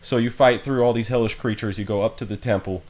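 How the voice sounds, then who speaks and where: A man's voice: fairly low pitch, steady tone, fast, darkish tone. A man streaming a game with casual, informal commentary and wry asides.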